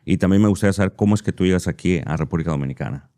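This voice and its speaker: A man hosting an interview who asks long, halting questions full of restarts. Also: low voice, mellow. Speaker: interview host. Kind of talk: conversational interview.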